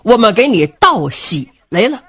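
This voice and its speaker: A woman voicing a shrewd male strategist who speaks in a dry, wry, quiet manner. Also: a little high, very animated, moderate pace, breathy edge, no echo, full character voice.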